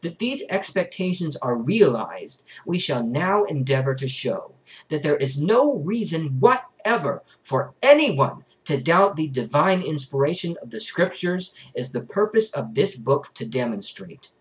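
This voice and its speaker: A man's voice: on the high side, very animated, a little slow. A young adult man reads a religious book aloud in a formal, emphatic manner, recorded in a small bedroom on a desk camera. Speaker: book reader.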